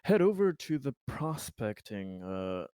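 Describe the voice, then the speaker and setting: A man playing a tabletop roleplaying game, casually describing his character's plans in the third person, pausing mid-sentence. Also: mid-range voice, very animated, dark tone, clear, resonant tone, no room sound, studio quality. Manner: hesitant, pauses mid-sentence.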